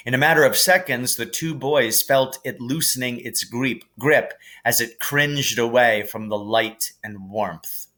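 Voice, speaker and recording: A man reading a storybook aloud as scripted narration, in an expressive, dramatic manner. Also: medium pitch, a little slow, hardly any pauses.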